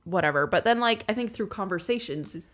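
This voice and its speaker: A woman's voice: mid-range voice, expressive, a little fast, a bit bright. A woman chatting casually as a podcast co-host, reassuring and lightly teasing. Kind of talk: casual chat.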